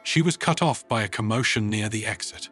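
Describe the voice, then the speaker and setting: A man's voice: on the low side, a little fast, bright, forceful tone, soft background music. A man reading a scripted story as its narrator.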